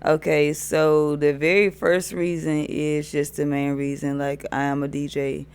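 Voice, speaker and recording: A woman DJ with a deep voice, chatting as a podcast guest in a laid-back, hesitant way. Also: little pitch movement, a little slow, a little mellow, clear, resonant tone. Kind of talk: casual interview chat.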